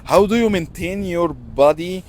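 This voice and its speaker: A man in his forties, the interviewer, asking questions haltingly as he searches for words. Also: high-pitched, lively intonation. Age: 40s.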